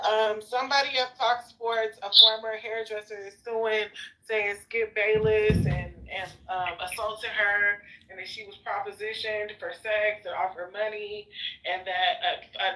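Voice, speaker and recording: A person in a casual group voice chat, gossiping with relaxed, hesitant fillers. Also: male voice, high-pitched, measured pace, rough recording.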